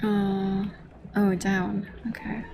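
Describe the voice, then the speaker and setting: A woman streaming a game with casual, halting commentary, sometimes reading lines aloud. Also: mid-range voice, level tone, gravelly, background noise.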